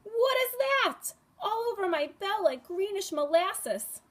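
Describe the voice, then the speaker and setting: A woman voicing a fussy, fretful old man who rings the bells, in a flustered, squeaky voice. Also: big pitch swings, slow, a bit bright, full character voice. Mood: puzzled. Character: old male bell ringer, fussy and fretful.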